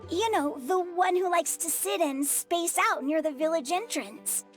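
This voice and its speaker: A woman's voice: very high voice, unhurried, faint music behind. A woman voicing a polite, earnest little village boy in a video game. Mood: polite, worried.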